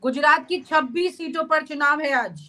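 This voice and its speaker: A young woman hosting a news discussion over a video call from an office, brisk as she reads out figures. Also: high voice, sharp tone. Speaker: news show host. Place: office room, indoors. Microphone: video call webcam.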